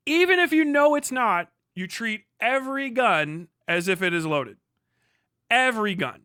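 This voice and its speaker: An American man hosts a livestream reaction with a high, sharp-edged voice. He talks casually, swears freely and leans on sarcasm and disbelief. The sound is very dry, with no room to it. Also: dry recording. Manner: sarcastic, profane, incredulous. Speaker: livestream host reacting to a video.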